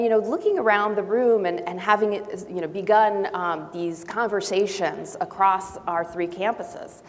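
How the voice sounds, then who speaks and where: A woman from Brazil and Honduras whose first language is not English, speaking as a panelist with thoughtful pauses and restarts. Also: on the low side. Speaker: panelist, faculty member. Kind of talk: panel discussion. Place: large auditorium. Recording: long hall reverb, faint noise.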